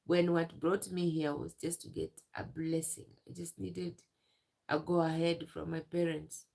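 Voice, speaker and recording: Tearful young woman streamer, unhurried delivery with a gravelly edge. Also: lowish voice, little pitch movement, dark tone. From Uganda. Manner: halting, repeats herself. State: tearful, emotional. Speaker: livestreamer. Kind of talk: emotional live stream talk.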